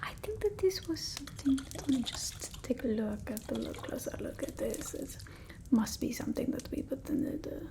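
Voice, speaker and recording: A woman voicing a quirky, forgetful vampire potion-maker in a put-on Eastern European accent, breathy and rambling in soft ASMR style. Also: high-pitched, big pitch swings, full character voice. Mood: forgetful musing. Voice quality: breathy, whispery. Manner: rambling, absent-minded muttering, slow and halting. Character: quirky forgetful female vampire potion-maker with old-world folk remedies. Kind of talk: ASMR roleplay, whispered and soft-spoken.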